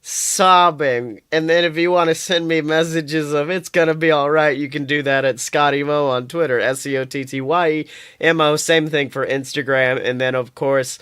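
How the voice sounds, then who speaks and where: Bright, high-pitched man bantering profanely. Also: moderate pace, smooth. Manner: profane, animated, exclamatory. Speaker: podcast co-host. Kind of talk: casual comedic chat.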